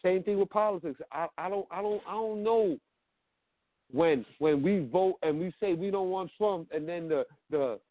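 Frank, high-voiced man on a phone line. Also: moderate pace, no room sound. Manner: frank, repetitive, many fillers. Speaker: guest, media creator. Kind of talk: casual interview talk. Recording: dry, phone-like call audio.

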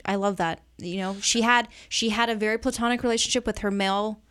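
A woman's voice: mid-range voice, fairly even intonation, quick pace, fairly bright tone, clear, resonant tone. A woman guest co-host in a casual film discussion, speaking in an opinionated, emphatic way.

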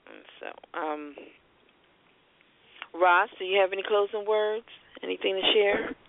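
Woman, talk show host, conversational, medium pitch, dry, thin phone-line sound. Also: measured pace, creaky, slight background noise. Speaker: radio show host and marketing strategist. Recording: muffled, band-limited phone-line internet radio.

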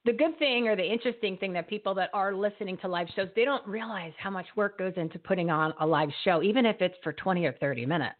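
A woman's voice: medium pitch, fast, few pauses. Jersey woman hosting a live web show, talky, restarts a lot, sounds thin like over a phone. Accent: New Jersey. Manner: chatty, restarts sentences, laughs often. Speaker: host. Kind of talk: live online show chat. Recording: phone-like narrow audio.

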